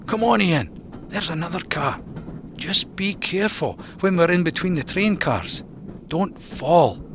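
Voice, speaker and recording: A man's voice: sits high, expressive, unhurried, background noise, pitched above the performer's own voice. Mac, a man doing an urgent, bossy raccoon. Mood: urging. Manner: urgent, coaxing. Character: bossy, cautious young male raccoon leader.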